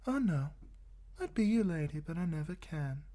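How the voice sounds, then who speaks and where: A man's voice: on the high side, expressive, moderate pace, mellow, pitched above the performer's own voice, natural voice. A man voicing a sulky young woman who pleads with her lover. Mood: tender.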